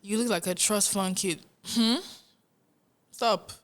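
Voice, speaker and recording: A young Nigerian woman, a musician, talking in a casual interview, animated and earnest, often laughing. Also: medium pitch, slow. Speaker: musician guest.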